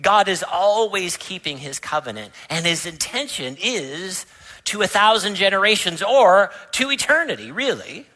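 A man's voice: sits high, animated, moderate pace, bright, forceful tone, rough recording. A man, a pastor, giving a sermon in a church. He tells a family story, then rises to emphatic preaching. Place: church sanctuary.

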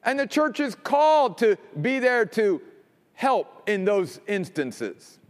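Animated preacher in a reverberant hall. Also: male voice, slow pace. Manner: emphatic preaching, drops low and quiet for stress. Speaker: pastor. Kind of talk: sermon.

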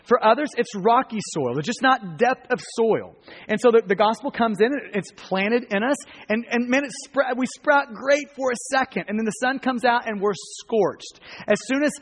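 A man's voice: very high voice, expressive, normal speed, fairly bright tone. An American man preaching a sermon to a congregation in an earnest, emphatic manner, repeating phrases for weight. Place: large reverberant room, live before a congregation. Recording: long hall reverb.

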